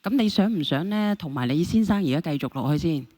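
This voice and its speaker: Fast-talking, lower-voiced woman host. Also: lively intonation, dark tone. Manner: questioning, persistent. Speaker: call-in show host. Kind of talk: mediating a caller dispute, casual talk.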